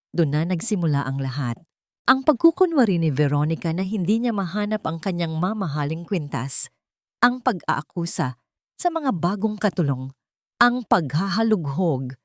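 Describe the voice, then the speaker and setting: Low-voiced woman narrating drama. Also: no room sound, studio quality. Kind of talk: scripted dramatic first-person story narration.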